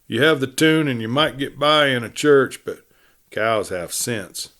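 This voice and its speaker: A man's voice: mid-range voice, expressive, unhurried, fairly bright tone. A man doing a sneering, sardonic cowboy. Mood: disgusted, sardonic. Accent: American. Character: sneering, disgusted male cowboy, dry and skeptical.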